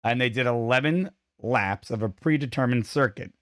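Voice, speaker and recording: A man's voice: mid-range voice, moderate pace, no room sound, clean studio sound. A man co-hosting a casual show who is losing his voice, chatty, opinionated and self-deprecating. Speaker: podcast co-host. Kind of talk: casual chat.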